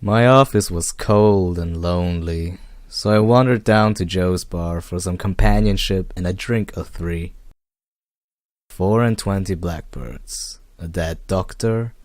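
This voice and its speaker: A man narrates hard-boiled detective fiction as an amateur reader. His voice is low and his pace slow and measured. The sound is close and dry, with no room on it. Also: darkish tone, no room sound. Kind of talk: noir first-person scripted narration.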